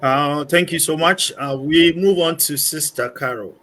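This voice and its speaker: A man's voice: on the high side, measured pace, fairly bright tone. A man hosting an online panel discussion, introducing guests in a loose, upbeat way with many fillers. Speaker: panel moderator.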